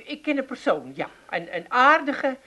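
A teasing, self-assured Amsterdam wife. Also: female voice, sits high, normal speed, heavily acted. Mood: firm. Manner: calm, teasing, firm. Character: self-assured wife teaching her husband a lesson. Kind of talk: comic radio play acting.

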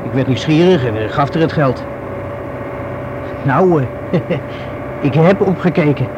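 Higher-voiced, rueful young man. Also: lively intonation, unhurried, highs cut off. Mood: rueful, confiding. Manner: hesitant, colloquial, self-reproaching. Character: rueful, wary young man confiding in police. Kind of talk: radio drama acting. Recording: noisy old radio play recording.

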